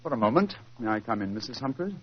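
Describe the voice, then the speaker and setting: An actor in a 1940s radio drama playing a shrewd, self-assured British gentleman detective, crisp and courteous in delivery. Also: mid-range voice, big pitch swings, fast, natural voice. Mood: brisk, confident. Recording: dull, noisy 1940s radio recording.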